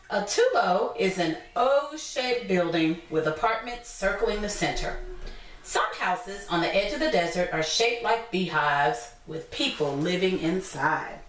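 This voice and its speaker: A woman teacher reading a picture book aloud to young children, pausing to explain things simply in her own words. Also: mid-range voice. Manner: instructive, repeats and explains points simply. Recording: echoey room, distant from mic.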